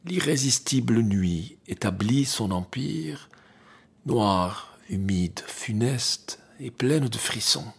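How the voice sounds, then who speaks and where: A man with a strikingly husky, breathy voice recites classic verse. He takes his time over each phrase, with gravel in the tone and hushed touches that sink toward a whisper. The delivery is dramatic and deliberate. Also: mid-range voice, lively intonation. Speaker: poetry reader.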